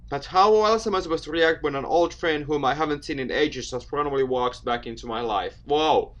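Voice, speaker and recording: A man's voice: a little high, fairly quick, fairly bright tone, lo-fi sound. A man hosting a gaming video, reading a visual novel aloud with theatrical flair and casual asides, stumbling over words. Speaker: video game let's player, host.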